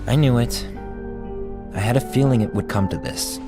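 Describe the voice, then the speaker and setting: An actor playing a blunt, skeptical comrade in an animated game film, dry and detached in manner. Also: male voice, medium pitch, moderate pace, music in the background, lightly acted. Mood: curt, skeptical.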